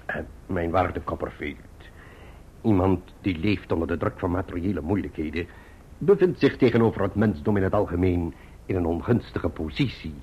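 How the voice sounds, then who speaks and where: An actor playing a pompous, genteel middle-aged clerk in a radio drama, florid and wordy with deliberate pauses. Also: male voice, deep voice, husky. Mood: delicately evasive. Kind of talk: theatrical radio drama acting. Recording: muffled, dull old radio drama recording.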